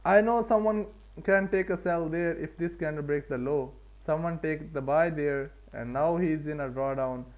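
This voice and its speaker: A man with a Pakistani accent and a slightly high voice talks as the host of a live stream. He gives casual trading commentary, often circling back to say a point again in slightly different words for emphasis. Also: moderate pace, poor audio. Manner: repeats and rephrases points for emphasis.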